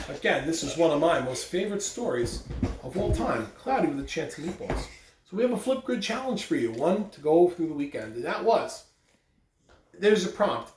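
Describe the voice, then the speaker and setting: A man reads a picture book aloud for schoolchildren, putting deliberate, animated emphasis on his words. His voice sits at some distance from the microphone in an echoey room, with faint noise underneath. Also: sits high. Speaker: school staff member reading aloud on morning announcements. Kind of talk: expressive children's read-aloud. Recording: roomy echo, distant mic, faint background noise.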